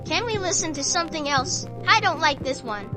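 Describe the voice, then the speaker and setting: A woman playing an excited, curious nine-year-old boy with eager, childlike questioning in a radio drama. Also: sits high, a little fast, over loud music. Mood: playful, whiny. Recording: noisy rain and car ambience.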